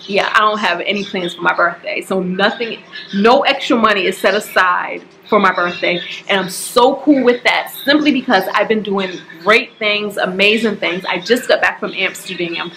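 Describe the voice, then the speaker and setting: A woman's voice: mid-range voice, slight background noise, rough recording. Chatty woman in her forties. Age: 40s. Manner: chatty and expressive, addresses viewers as y'all. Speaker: budgeting vlogger. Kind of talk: casual direct-to-camera talk. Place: home staircase, indoors. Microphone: camera at close range.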